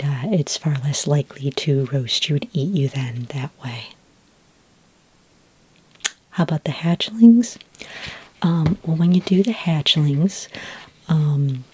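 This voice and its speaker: A woman playing a friendly, patient dragon ranch expert in a soft-spoken ASMR roleplay, whispery and breathy, explaining gently and hesitantly. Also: sits low, no echo. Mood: patient, instructive.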